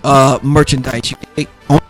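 A man hosting a casual solo football podcast, raspy and under the weather, rambling loosely with lots of fillers and restarts. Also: mid-range voice, steady tone, unhurried, faint music behind. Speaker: podcast host making football picks.

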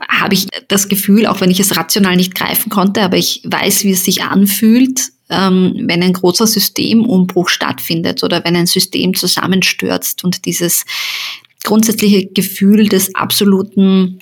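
Husky-voiced woman hosting a podcast. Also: mid-range voice, steady tone, moderate pace. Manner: thoughtful, searching, with many fillers. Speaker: podcast host and journalist. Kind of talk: personal reflective conversation.

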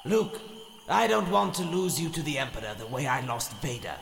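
An actor playing a ghostly old Jedi mentor, solemn and cautioning, in an audio drama. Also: male voice, on the high side, very animated, normal speed, gravelly, a bit bright, heavily acted. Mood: concerned, solemn. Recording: echoing long reverb, faint noise.

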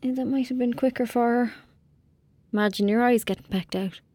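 A woman's voice: fairly high pitch, fairly even intonation, fairly quick, warm tone, dry recording, natural voice. A woman playing a lonely, anxious young Irish girl in an audio drama, speaking hesitantly. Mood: sad, guilty.

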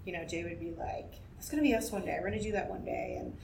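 A woman's voice: expressive, quick pace. Chatty woman, far from the mic. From New Hampshire, USA. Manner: chatty, giggly storyteller. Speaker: taproom co-owner. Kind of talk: casual interview chat. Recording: echoey, distant mic with rumble noise.

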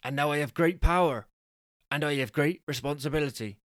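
A man's voice: on the high side, brisk, fairly bright tone, no room sound, clean studio sound, pitched above the performer's own voice, lightly acted. A man playing an earnest, deluded would-be superhero boyfriend who makes solemn, self-important declarations.

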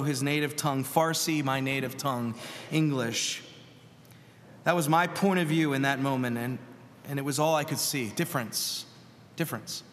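Earnest preaching man in a reverberant sanctuary. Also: fairly high pitch. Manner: earnest storytelling with pauses and repetitions. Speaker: pastor. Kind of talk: sermon. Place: church sanctuary. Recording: long hall reverb.

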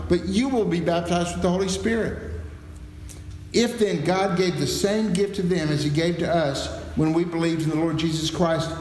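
A man's voice: sits high, a little mellow. Middle-aged male pastor, teacherly sermon, church hall with long echo and faint hum. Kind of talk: sermon with scripture reading. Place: church sanctuary. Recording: very echoey hall, long reverb.

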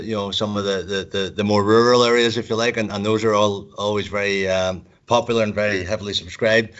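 A man's voice: on the low side, fairly bright tone. A man from university recruitment chatting casually in a panel discussion, stumbling over repeated words as he goes. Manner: hesitant, many repeated words and restarts. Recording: dull lo-fi sound.

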